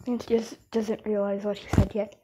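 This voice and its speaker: A woman's voice: medium pitch, flat delivery, moderate pace, background noise. A woman casually reading fan comics aloud with asides, stumbling and restarting lines as an amateur dubber.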